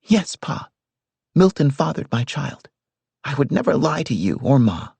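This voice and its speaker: A man's voice: a little high, very animated, unhurried, vocal fry, darkish tone, breathy edge, dry recording, voice pushed up, full character voice. A man reading aloud, voicing a distraught pregnant young woman who pleads heatedly with her family. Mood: heated, pleading.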